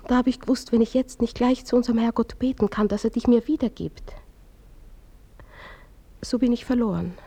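Anxious young wife in a radio play, soft dark voice, talks hushed and halting. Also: female voice, on the high side, breathy edge. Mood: confessing.

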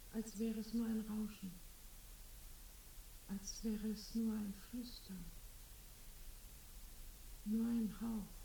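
A ghostly mother speaks tenderly and very slowly in a radio drama. Her soft, wistful voice floats from far off in deep echo. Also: creaky, exaggerated voice. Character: ghostly dead mother, tender, dreamy, longing. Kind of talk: German radio play acting, poetic and slow. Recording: very echoey, distant, hissy.

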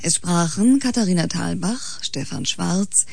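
Low, creaky woman radio announcer. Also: measured pace, a little mellow, no room sound. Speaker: radio announcer reading credits. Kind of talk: scripted broadcast announcement. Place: dry radio studio.

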